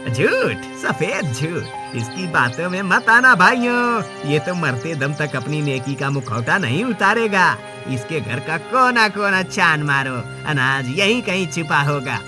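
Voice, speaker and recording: A man playing a scheming village moneylender in a Hindi moral cartoon, forceful, insinuating and rabble-rousing. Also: a little high, big pitch swings, unhurried, few pauses, over music. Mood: accusing.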